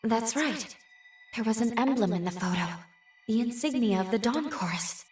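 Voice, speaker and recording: A woman voicing a thoughtful, analytical researcher who reasons aloud in a measured way in a game cutscene. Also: a little high, lively intonation, darkish tone, lightly acted. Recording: roomy, slightly distant, light music.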